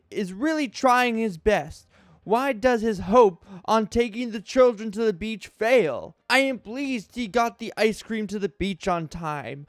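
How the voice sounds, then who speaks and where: Man doing a high-pitched, melodramatic aggrieved fan reviewer. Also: no room sound, clean studio sound. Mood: disappointed. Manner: melodramatic, halting, heavy emphasis. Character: aggrieved, earnest cartoon fan solemnly reviewing episodes. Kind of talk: mock-dramatic reading of an online review.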